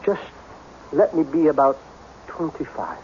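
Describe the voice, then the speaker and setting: A man's voice: a little high, very animated, unhurried, darkish tone. An actor playing a lonely, troubled man of about fifty, earnest and agitated, in vintage radio drama style. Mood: despairing, yearning. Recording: dull, faintly noisy old radio recording.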